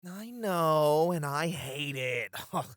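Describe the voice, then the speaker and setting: A man's voice: a little high, expressive, slow, a bit bright, no room sound, clean studio sound. A man playing a teasing, dramatic, flirty club host in an ASMR roleplay, with a coy, effusive manner. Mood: pouty, teasing.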